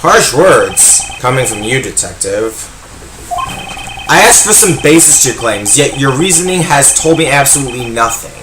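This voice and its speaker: A woman's voice: a bass voice, sing-song, background noise, pitched below the performer's own voice. Woman putting on a formal, stern male prosecutor. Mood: stern, composed. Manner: formal, measured.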